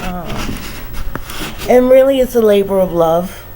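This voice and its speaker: Slow-talking tired woman with a cold. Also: mid-range voice, expressive, dark tone, a little hiss or hum, rough recording. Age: middle-aged. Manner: sighing, laughing, many "you know" fillers. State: stuffed up with a head cold. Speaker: vlogger. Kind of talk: casual reflective monologue.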